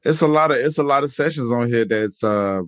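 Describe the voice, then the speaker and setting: A man hosting a podcast interview in relaxed, casual chat, rambling with many fillers and restarts. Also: mid-range voice, a little fast, no echo, studio quality. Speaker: podcast host.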